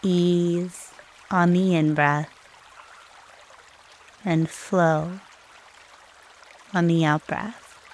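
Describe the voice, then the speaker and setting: A woman leading a guided meditation in a soothing, unhurried way, leaving long pauses between short phrases. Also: fairly low pitch, fairly even intonation, slight background noise.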